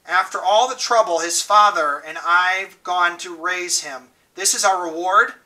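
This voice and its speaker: A man reading aloud and voicing a bitter, hard middle-aged mother who is shrill and indignant. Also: unhurried, bright, forceful tone, far from the mic, exaggerated voice, rough recording. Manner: shrill, indignant and self-pitying.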